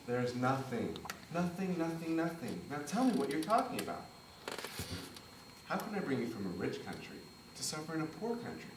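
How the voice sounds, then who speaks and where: A man's voice: fairly high pitch, very animated, moderate pace. A man playing a passionate young Italian immigrant. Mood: earnest. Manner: swings from tender murmurs to impassioned outbursts. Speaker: stage actor. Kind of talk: stage play performance. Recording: roomy, distant mic, faint noise.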